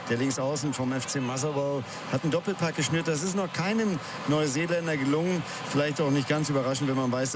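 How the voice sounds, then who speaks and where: A man giving live football commentary as a reporter in an open stadium. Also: medium pitch. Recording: noisy stadium background.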